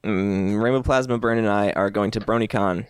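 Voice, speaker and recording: A man co-hosting a casual podcast, easygoing and quick to laugh. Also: fairly low pitch, clean tone, no echo, studio quality. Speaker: podcast co-host. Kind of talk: casual chat.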